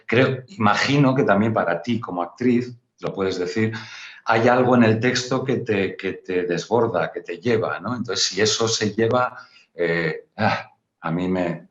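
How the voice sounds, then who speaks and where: A man's voice: on the low side, moderate pace. A Spanish-speaking man, a playwright chatting off the cuff and repeating phrases for emphasis, heard over a computer call. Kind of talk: unscripted conversation. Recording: dull, roomy computer-call sound.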